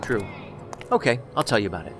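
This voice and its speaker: A man's voice: lowish voice, expressive, fast, background noise, natural voice. A man playing a quirky, tactless federal agent in an audio drama, talking in a rambling, pedantic, matter-of-fact way. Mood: teasing, casual.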